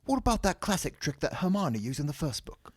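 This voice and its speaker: A man's voice: fairly high pitch, sing-song, a little fast, no echo, clean studio sound, voice pushed up. A man voicing a hesitant, eager teenage boy sidekick in a comic story, speaking in a piping manner.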